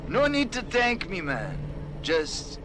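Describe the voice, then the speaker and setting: Sly cocky hacker, highish, unhurried, taunting game delivery, clear background noise. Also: male voice, huge pitch swings, exaggerated voice. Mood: smug, taunting. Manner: taunting, drawn-out, breezy. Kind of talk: video game voice acting. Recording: noisy vehicle ambience.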